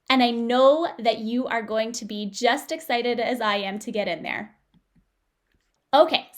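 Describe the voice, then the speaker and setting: Upbeat enthusiastic woman podcast host. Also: on the high side. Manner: upbeat, enthusiastic, frequent laughs. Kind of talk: podcast promo and interview.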